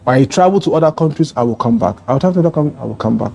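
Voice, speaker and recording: A man's voice: fairly high pitch, animated, very fast, vocal fry, mellow, a little hiss or hum. A man from Nigeria telling his travel story as an interview guest, earnest and rambling with many restarts. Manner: meandering, restarts often, earnest. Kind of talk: casual interview conversation.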